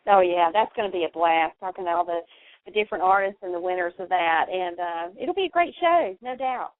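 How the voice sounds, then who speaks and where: A woman's voice: fairly low pitch, animated, fairly quick, dry recording. A woman co-hosting a radio show in casual, agreeable, affirming chat. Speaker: radio co-host. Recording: dry tinny phone line.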